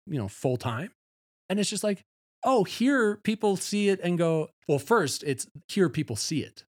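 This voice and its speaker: A man from Wisconsin hosting a podcast, talking enthusiastically with halting starts and repeated words. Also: high-pitched, big pitch swings. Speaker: podcast host. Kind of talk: casual interview chat. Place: small caboose art studio.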